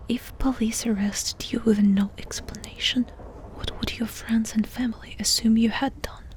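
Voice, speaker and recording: A woman doing a soft-spoken ASMR roleplay, asking questions in an intimate, unhurried whisper. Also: on the high side, steady tone.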